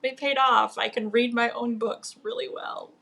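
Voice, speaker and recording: A woman's voice: fairly high pitch, steady tone, moderate pace, gravelly, bright, forceful tone. A woman speaking as a guest author in a casual livestream, playful and informal in manner. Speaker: author guest on a book live stream.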